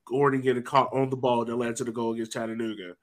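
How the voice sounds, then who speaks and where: A man's voice: medium pitch, level tone, quick pace. A man talking casually and colloquially as a sports podcast host, sometimes voicing imagined reactions.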